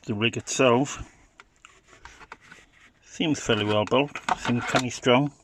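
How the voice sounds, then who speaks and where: A man's voice: medium pitch, slow, noisy background. A man giving an informal, unscripted gadget demonstration in a hesitant, matter-of-fact way. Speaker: hobbyist product demonstrator.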